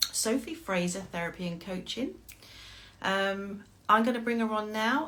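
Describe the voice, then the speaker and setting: A woman's voice: medium pitch, bright, forceful tone. A middle-aged woman hosting a live interview over a video call, upbeat and enthusiastic. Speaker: host, therapist and coach.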